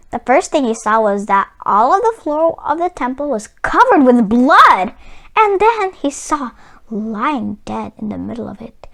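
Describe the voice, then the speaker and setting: Female storybook reader for children: high pitch, very animated melody, dramatic emphasis on key words. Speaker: children's read-aloud host. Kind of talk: expressive storybook read-aloud for children.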